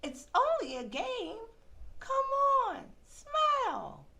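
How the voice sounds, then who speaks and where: A playful female babysitter puppet, acted by a woman, high and unhurried. The pitch leaps around in a coaxing, exaggerated sing-song made for small children. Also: wildly swooping pitch, gravelly, very noisy, full character voice. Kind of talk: children's puppet show acting.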